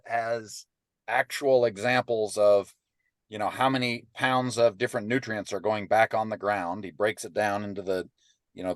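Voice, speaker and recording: Low-voiced man teaching a webinar. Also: no room sound, studio quality. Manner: informal, restarts and "right?" checks with listeners. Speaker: webinar presenter and ranch business advisor. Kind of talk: teaching webinar, conversational explanation. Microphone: computer microphone on an online webinar call.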